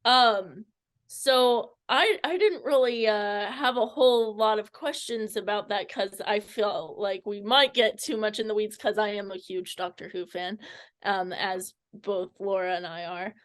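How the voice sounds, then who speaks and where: Slow, tentative woman interviewer. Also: medium pitch. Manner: hesitant, tentative phrasing. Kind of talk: casual interview chat.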